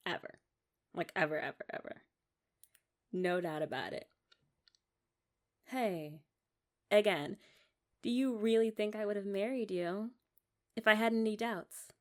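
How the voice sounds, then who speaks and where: A young woman playing a loving, sentimental young wife in a romantic ASMR roleplay, talking tenderly and haltingly as if to her partner. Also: on the low side. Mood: reassuring. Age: young adult.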